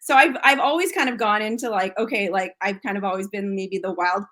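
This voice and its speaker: An American actress talking casually as an interview guest, chatty and full of restarts and fillers. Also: female voice, medium pitch, fairly quick, bright, forceful tone. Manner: chatty, frequent fillers and restarts, laughs often.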